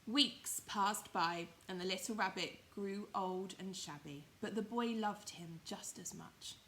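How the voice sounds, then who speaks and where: A woman's voice: mid-range voice, measured pace, fairly bright tone, a little hiss or hum. A woman reading a children's story expressively, with emphatic, dramatised phrasing. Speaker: primary school storyteller.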